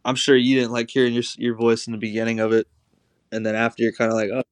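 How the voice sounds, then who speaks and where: A man guesting on a podcast as a musician, chatting casually and in a relaxed way with frequent fillers. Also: mid-range voice, quick pace, smooth, no room sound, studio quality. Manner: relaxed, uses fillers like um and you know. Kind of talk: casual interview chat.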